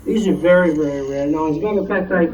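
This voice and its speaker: A man's voice: on the high side, quick pace, crowd noise. A man giving a casual show-and-tell talk, storytelling with repeated and rephrased words. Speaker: club member presenting a collectible. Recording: distant room mic, faint noise.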